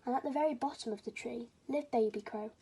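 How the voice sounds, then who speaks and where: A woman's voice: on the high side, quick pace, dark tone, breathy edge. A woman reading a children's story aloud as scripted storybook narration.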